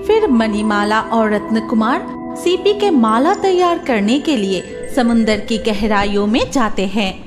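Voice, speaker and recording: Woman narrating a kids fairy tale, very high, slow, faint music behind. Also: animated.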